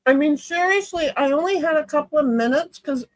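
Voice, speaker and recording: Woman, high pitchy voice, rambly casual gamer host chatting from home. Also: a little fast, rough recording. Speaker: streamer playing online cards with friends. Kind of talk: casual chat while gaming. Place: hot room at home.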